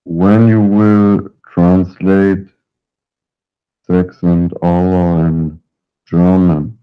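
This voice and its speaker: A man phones into a live show and speaks very slowly in a low, flat voice. His tone is smooth, and he talks hesitantly. He comes through a tinny phone line with faint guitar music behind him. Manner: hesitant, halting. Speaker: caller.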